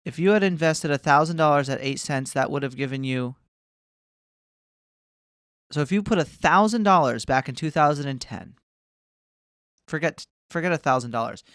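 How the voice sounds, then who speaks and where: Brief: man in his twenties, casual rambling vlog rant, heavy swearing, smooth clean tone, close and dry. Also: fairly high pitch, moderate pace, pauses often, a bit bright, no echo. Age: 20s. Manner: profane, rambling, swears often; mocking, bragging, jumps between asides. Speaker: vlogger.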